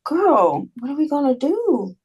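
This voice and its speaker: A woman's voice: sits high, very animated, measured pace, darkish tone, muffled recording. A woman in a table read playing a blunt, emphatic, protective female colleague in a drama. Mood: sassy, exasperated. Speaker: actor in a script table read. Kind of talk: dramatic script reading.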